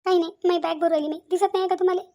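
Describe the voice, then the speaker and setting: A woman's voice: very high-pitched, fairly even intonation, rapid-fire, a little mellow. A woman voicing an aggrieved young daughter-in-law in a cartoon family drama, insistently repeating herself. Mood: resolute, hurt. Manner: repeats phrases insistently, rhetorical questions. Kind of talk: Marathi cartoon family comedy-drama acting.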